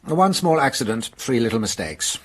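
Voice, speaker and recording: A shrewd detective. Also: male voice, medium pitch, very animated, fairly bright tone, slightly rough. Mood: explaining. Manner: measured, explaining, amused. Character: shrewd French police detective, Inspector Hanaud. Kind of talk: radio drama acting.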